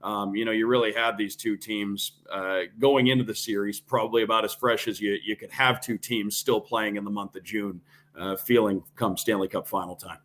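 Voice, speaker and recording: A man who calls play-by-play on radio, a guest on a sports podcast, talking in a measured, thoughtful way with some fillers over a remote call. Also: fairly low pitch, steady tone, a bit bright. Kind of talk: casual analytical sports chat.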